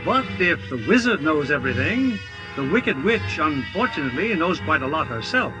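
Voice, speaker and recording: Theatrical male radio narrator, very animated. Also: fairly high pitch, moderate pace, music in the background, rough recording. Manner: theatrical storytelling. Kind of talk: 1950s radio scripted narration.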